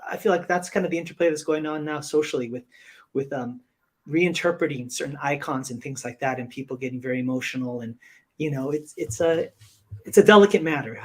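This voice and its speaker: A man with a fairly high voice co-hosts a casual podcast. He asks long questions in a halting way, circling back and repeating words. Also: husky. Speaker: podcast co-host.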